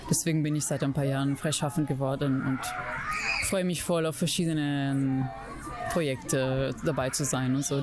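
Italian woman, low voice, halting interview answers over background noise. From Italy. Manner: searching for words, many fillers and restarts. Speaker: puppeteer and interviewee.

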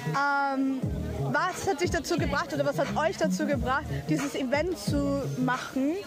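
A high-pitched woman asks short, direct questions as an interviewer. Her voice comes through very loud dance music. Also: fairly even intonation, moderate pace. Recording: outdoor event with very loud music.

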